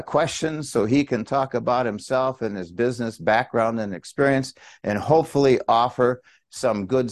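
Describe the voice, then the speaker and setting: A man's voice: medium pitch, no echo, studio quality. A man hosting an interview podcast in a casual, chatty way, speaking directly to listeners. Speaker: podcast host and business coach.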